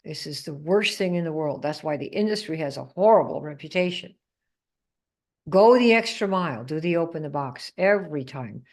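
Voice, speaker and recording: A woman's voice: sits low, lively intonation, moderate pace, a little mellow. A woman from the Netherlands coaching a live group on sales, emphatic and quizzing her audience. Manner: emphatic, asks the audience questions.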